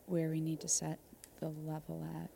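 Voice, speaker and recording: A woman co-hosts with a low, mellow, gravelly voice. Her tone stays flat and even as she asks dry, deadpan questions. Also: normal speed, slight background noise. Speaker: co-host. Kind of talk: comedic mock interview.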